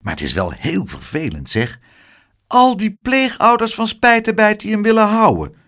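A man's voice: normal speed, full character voice. Een man die een vriendelijke, kalme oude boekhandelaar voorleest in een kinderverhaal. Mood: kindly. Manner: soothing, low and calm. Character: kind calm old bookseller, gentle man.

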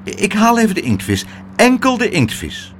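A man's voice: high-pitched, wildly swooping pitch, moderate pace, a little hiss or hum, voice pushed up. A man voicing a young boy hero in a children's storybook, polite, earnest and coaxing. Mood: pleading.

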